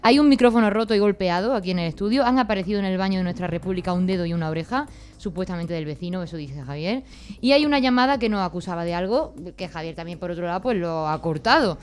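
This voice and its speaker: Fast-talking Spanish woman co-hosting a podcast. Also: on the low side, clear, resonant tone, soft background music. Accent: Spain. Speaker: podcast co-host. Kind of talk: casual interviewing and recapping.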